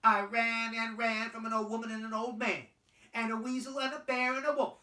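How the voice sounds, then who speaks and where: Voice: mid-range voice, level tone, moderate pace, bright, forceful tone, voice pushed up, lightly acted. A storyteller voicing a cheeky, boastful little runaway cake in a sing-song chant. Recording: roomy, distant microphone.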